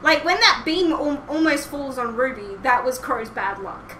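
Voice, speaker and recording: A young woman with a fairly high voice chats casually as a podcast host. She rambles and hesitates through her points. The room echoes clearly and there is obvious background noise. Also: animated, normal speed, pushed and bright. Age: young adult. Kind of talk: casual chat, fan discussion. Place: small home room indoors, online call. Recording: roomy, noisy headset recording.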